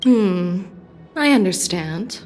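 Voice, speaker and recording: A woman's voice: medium pitch, animated, slow, a little mellow, soft background music. An actress playing a composed, decisive female general in a video game. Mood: measured, probing. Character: composed, decisive female general who trusts her instincts. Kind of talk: English game voice acting.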